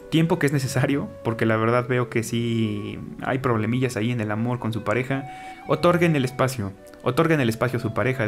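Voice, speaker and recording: A man giving an earnest tarot reading, repeating his advice and pleading directly with his viewers. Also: medium pitch. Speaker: tarot reader. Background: soft background music.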